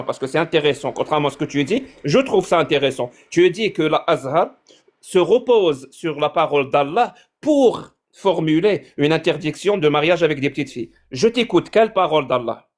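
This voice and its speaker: Casting note: man, Arabic first language, slightly higher voice, insistent debater pushing hard questions. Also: lively intonation, normal speed. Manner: insistent, pressing questions, interrupting. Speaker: debate livestream host. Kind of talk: heated online debate.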